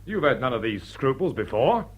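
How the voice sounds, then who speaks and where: Husky, blunt crook. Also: male voice, medium pitch, very animated, moderate pace. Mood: impatient. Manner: blunt, plain-spoken, grumbling. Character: blunt, rough-edged working-class English crook, impatient. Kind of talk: period radio drama acting.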